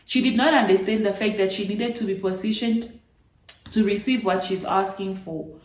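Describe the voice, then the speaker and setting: A woman's voice: medium pitch, moderate pace. Chatty young woman in an echoey room. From Namibia. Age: 20s. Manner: chatty, animated retelling with playful asides. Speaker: vlogger. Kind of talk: casual storytelling to camera.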